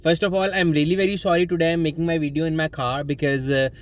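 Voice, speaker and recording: A young man with a Pakistani accent and Urdu as his first language talks through study tips in a casual, rambling vlog. His voice sits high for a man, and he fills his talk with restarts and apologies. He records from the driver's seat of his car. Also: level tone, quick pace. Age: young adult. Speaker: student vlogger. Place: inside a car.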